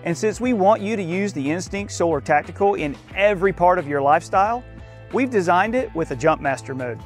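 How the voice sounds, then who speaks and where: A man's voice: high-pitched, expressive, brisk, faint music behind. A man in his forties, speaking outdoors to camera as a company spokesperson, presenting a product in an earnest, scripted way. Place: outdoors in a green field.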